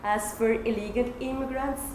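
Young woman from Mexico, student presenter, earnest and anecdotal; far camera, echoey classroom, steady hiss. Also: on the high side, moderate pace. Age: young adult. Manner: earnest, anecdotal, light humor. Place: classroom at a lectern.

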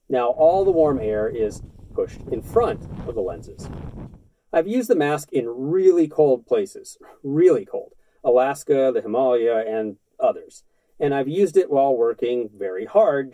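Man in his 30s, earnest gear explainer; mellow tone, lively pitch, dry close sound. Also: no room sound, poor audio. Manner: earnest, matter-of-fact, steady delivery. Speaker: outdoor gear vlogger. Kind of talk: scripted explainer to camera. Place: indoors in front of a brick-pattern backdrop.

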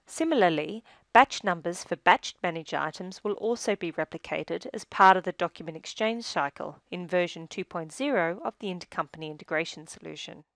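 A woman reading scripted software tutorial narration in a measured, instructional manner, recorded as a close-miked voice-over. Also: fairly low pitch, dry recording.

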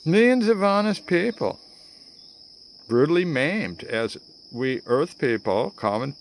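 An American man in his sixties giving a theatrical spoken-word political rant to camera, with dramatic pauses and wry asides. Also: on the high side, very animated, slight background noise. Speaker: vlogger. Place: outdoors among greenery. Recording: outdoor ambience with chirping insects.

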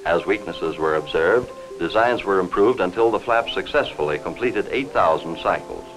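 Low-voiced American 1950s newsreel narrator, man. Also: lively intonation, music in the background, rough recording. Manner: formal, measured, factual. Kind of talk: 1950s scripted industrial film narration. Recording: vintage 1950s film soundtrack.

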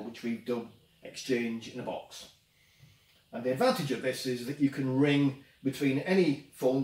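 A man's voice: medium pitch, darkish tone. A middle-aged man gives a casual, unscripted product demonstration in a plain-spoken, explanatory way. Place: small office workshop, indoors. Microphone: camera a few metres away. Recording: roomy echo, distant camera microphone.

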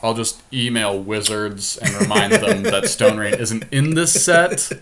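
A man's voice: mid-range voice, big pitch swings, unhurried, a crowd in the background. A man who hosts a podcast, giving casual commentary on a card game as he plays. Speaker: podcast host playing an online card game.

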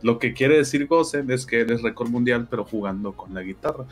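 Brief: 20s guest, mid-pitched, patient explainer. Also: male voice, fairly quick. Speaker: speedrunner guest. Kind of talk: casual explanation.

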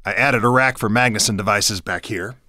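An actor playing an earnest, easily exasperated resistance fighter in a video game, explaining things in a brisk, folksy way. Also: male voice, on the low side, bright, forceful tone, no room sound, studio quality. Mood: helpful, businesslike.

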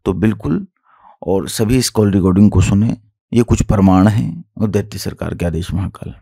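A man's voice: lowish voice, normal speed, vocal fry, dark tone, slightly rough, dry recording, clean studio sound. A man speaking as a guru to his followers, emphatic and repetitive, asking rhetorical questions. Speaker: tantric guru. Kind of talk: spoken introduction and explanation to followers.

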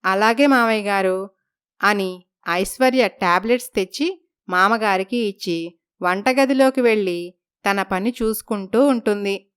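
A woman narrating a family story in a steady, matter-of-fact way. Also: mid-range voice, measured pace, clear, resonant tone.

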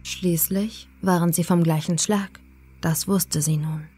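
A woman reading a horror story as a scripted, dramatic narration, measured and suspenseful. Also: lowish voice, fairly even intonation, mellow, husky. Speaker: audiobook narrator. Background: faint atmospheric music.

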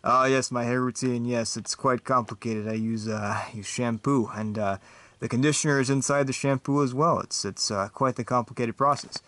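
A man voicing a relaxed knight movie star who rambles with lots of fillers. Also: mid-range voice, normal speed, dry recording, lightly acted. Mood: easygoing. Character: laid-back knight movie star, male adult.

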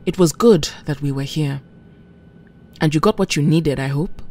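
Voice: mid-range voice, moderate pace, dark tone, lightly acted. An actor playing an authoritative, reassuring mutant team leader with an earnest manner. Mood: sober.

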